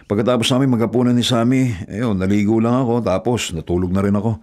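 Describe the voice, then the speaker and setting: A man's voice: lowish voice, fairly even intonation, quick pace, a little mellow, natural voice. An actor with a Filipino accent playing a tired, devoted young working man in a radio drama, earnest, reassuring and apologetic. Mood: weary, apologetic. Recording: music under the voice.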